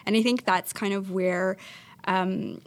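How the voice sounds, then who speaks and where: Earnest woman, mid-pitched voice. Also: steady tone, takes it slowly. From Toronto, Canada. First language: Portuguese. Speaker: interview guest, public servant. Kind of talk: casual conversational interview.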